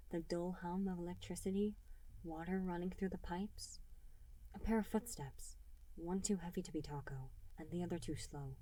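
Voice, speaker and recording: A woman reading scripted fan fiction as an expressive narrator. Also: on the low side, rough recording. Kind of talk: expressive scripted story narration with character dialogue.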